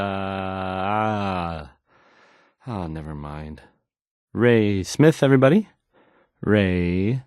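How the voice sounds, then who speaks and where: A man's voice: lowish voice, dry recording, studio quality, exaggerated voice. An actor playing a timid, awkward young male radio DJ in a video game, stammering, hesitant and apologetic. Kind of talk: post-apocalyptic video game voice acting.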